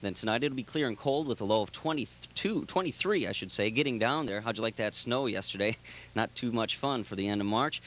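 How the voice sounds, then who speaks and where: A man's voice: lowish voice, animated, a little fast, a bit bright, slight background noise. Young man chatting as a country radio DJ. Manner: chatty, inviting listener calls. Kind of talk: friendly on-air patter. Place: small radio studio. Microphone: boom mic with headphones.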